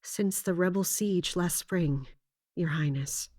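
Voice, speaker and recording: A woman playing a loyal mercenary captain in a fantasy audio drama, speaking formally and with measured, respectful defiance. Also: lowish voice, darkish tone. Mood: controlled, defensive. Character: loyal, resolute female mercenary captain serving royalty.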